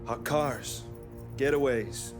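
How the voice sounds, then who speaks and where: A man's voice: medium pitch, slow pace, natural voice. A man playing an easygoing, car-loving shady mechanic in a video game cinematic, breezy and self-assured. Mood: breezy, admiring. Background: prominent music, vehicle sounds.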